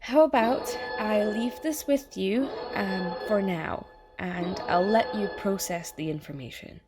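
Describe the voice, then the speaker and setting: A woman playing a chatty, falsely friendly AI that lives in someone's mind, coaxing and quietly menacing in an audio theatre monologue. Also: mid-range voice, a little slow, music in the background, close to an everyday voice. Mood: soothing, controlling. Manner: chummy, casual, coaxing, passive-aggressive.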